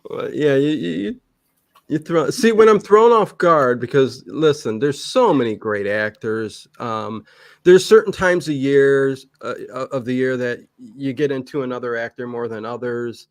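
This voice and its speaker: A man talks casually as an interview guest in a very dry, close sound. His pitch is average for a man. He rambles and backtracks, repeating words, then leans emphatically on his points. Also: expressive, unhurried, no room sound. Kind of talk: casual chat.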